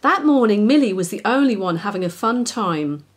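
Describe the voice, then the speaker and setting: A woman's voice: mid-range voice, expressive, normal speed. A woman narrating a children's story expressively as its author.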